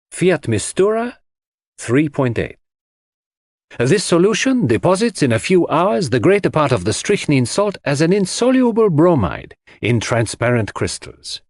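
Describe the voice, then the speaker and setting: A man voicing a shrewd, theatrical detective in an audiobook, speaking deliberately and dramatically with rhetorical questions as he lectures suspects. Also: a little high, no echo, clean studio sound, pitched above the performer's own voice. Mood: triumphant, instructive. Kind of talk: audiobook character dialogue.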